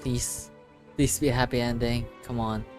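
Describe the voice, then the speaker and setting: A streamer giving casual live reaction commentary on a game, invested and excitable, muttering reactions. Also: medium pitch, moderate pace, over music.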